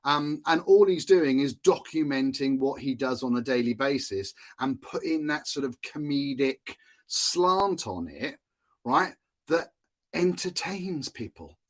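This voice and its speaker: A Welsh man talks through a solo podcast episode in a loose, chatty way. He restarts sentences, drops in fillers and chuckles at his own asides. His voice sits at an ordinary middle pitch for a man. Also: animated, unhurried. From Wales. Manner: chatty, self-amused, laughs often, many fillers and restarts. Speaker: podcast host, marketing consultant.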